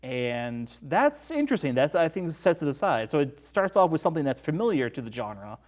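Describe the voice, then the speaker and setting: A man's voice: on the high side, sing-song, fairly quick. A man speaking as a podcast guest, casually discussing movies and offering his opinions in a tentative way.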